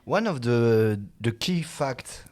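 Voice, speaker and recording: Man speaking haltingly with many fillers. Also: mid-range voice, lively intonation, a little mellow. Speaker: guest expert. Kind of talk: casual interview talk.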